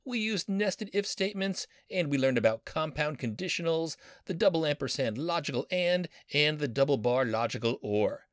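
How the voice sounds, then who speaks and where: A man's voice: on the high side, animated, normal speed, few pauses, no echo. Guy professor walking through code, bouncy sing-song voice, super dry close mic, jokey and upbeat. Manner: playful, enthusiastic, pop-culture jokes. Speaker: university professor teaching coding. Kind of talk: screen-recorded coding lesson.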